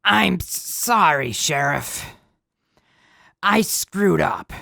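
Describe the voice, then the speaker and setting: Woman as a creaky, low-voiced, sheepish deputy. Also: expressive, sharp tone, dry recording, studio quality, exaggerated voice. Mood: sheepish, pained. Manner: halting, apologetic. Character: sheepish, battered deputy guard named Pat. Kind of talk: post-apocalyptic radio drama acting.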